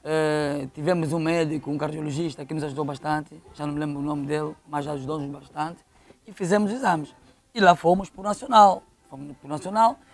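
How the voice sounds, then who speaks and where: A man's voice: a little high, steady tone, fairly quick. A middle-aged man with an Angolan accent telling stories conversationally as an interview guest, repeating phrases for emphasis. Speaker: interview guest, local football official.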